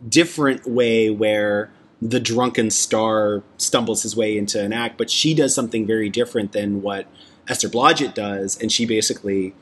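A man's voice: on the low side, steady tone, moderate pace, fairly bright tone. A man hosting a casual podcast discussion, chatty and enthusiastic, often restarting his sentences. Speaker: podcast host.

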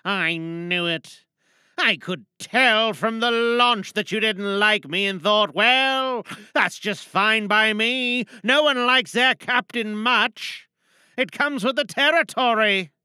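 A woman's voice: a little high, slow pace, bright, forceful tone, clear, resonant tone, no room sound. A woman playing a stern, paranoid female spaceship captain in a dramatic monologue, commanding and pleading by turns with theatrical urgency. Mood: bitter, accusing.

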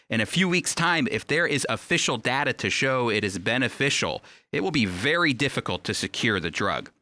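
A man's voice: mid-range voice, bright, forceful tone. A man hosting a radio program, teaching in an earnest, encouraging, conversational way and speaking directly to listeners. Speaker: radio host and Bible teacher. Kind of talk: conversational Bible teaching and commentary. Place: remote home recording, dry.

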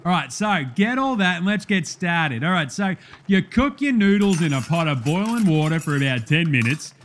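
A man plays a brash, matey Aussie TV cook with a high-pitched voice for a bloke. He has an Australian accent and rattles through kitchen instructions in casual slang, as in a parody cooking show. There is clear background noise around him. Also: big pitch swings, normal speed, fairly bright tone. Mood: matey, instructive.

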